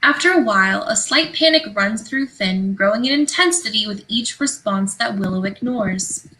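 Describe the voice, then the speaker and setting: A woman's voice: mid-range voice, animated, moderate pace, pushed and bright, rough recording. A woman reading stage directions aloud as scripted narration for a play.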